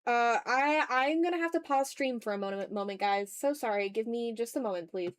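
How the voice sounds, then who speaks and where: Female streamer, slightly high voice, casual and informal chat, close dry recording. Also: a little fast, no room sound. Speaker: art livestream host.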